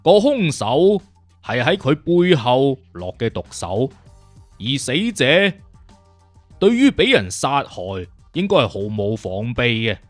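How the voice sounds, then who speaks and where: A man's voice: mid-range voice, no echo. A man playing a witty, conceited detective consultant in an audiobook, with a Cantonese accent and an emphatic, lecturing, self-assured manner. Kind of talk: audiobook character acting.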